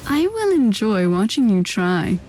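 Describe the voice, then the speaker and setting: A woman's voice: medium pitch, sing-song, a little slow, a little mellow. An actress playing a defiant, bookish young woman in a video game, speaking solemnly. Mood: cool defiance. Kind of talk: dramatic video game acting.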